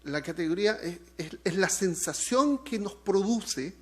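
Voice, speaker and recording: A man from Chile with a Chilean accent, preaching a sermon with lively swings in pitch and loudness and joking asides to the congregation. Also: a little slow. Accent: Chilean Spanish. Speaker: pastor.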